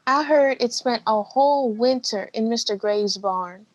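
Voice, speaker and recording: A woman's voice: a little high, a little slow, no echo, dull audio, lightly acted. A woman in an amateur play acting a friendly, curious small-town villager. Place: filmed on a video call.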